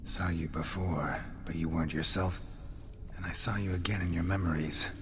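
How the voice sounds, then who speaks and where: Guy doing stoic monster hunter from a fantasy game, low gravelly voice, terse n deadpan, music behind. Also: moderate pace, lightly acted. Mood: guarded, probing. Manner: terse, restrained, deadpan questioning. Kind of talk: dramatic video game dialogue.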